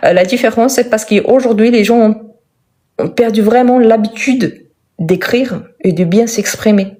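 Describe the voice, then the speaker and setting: A Brazilian-born woman whose first language is Portuguese, teaching French in a live online lesson, speaking slowly and simply and often repeating words for emphasis. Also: on the high side, warm tone. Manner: slow, careful, simple speech for learners.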